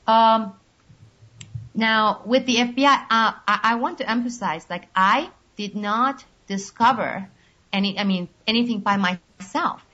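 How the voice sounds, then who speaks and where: A woman's voice: on the high side, sharp tone. Woman on a muffled call. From Turkey. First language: Turkish. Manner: measured, explains at length with many pauses. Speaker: interview guest. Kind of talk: long recorded call interview. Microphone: video call. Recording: dull lo-fi call audio.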